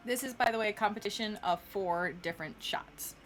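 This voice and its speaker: A woman's voice: mid-range voice, fairly quick, a little hiss or hum. A woman running a tabletop role-playing game, improvising narration and calling for rolls as game master.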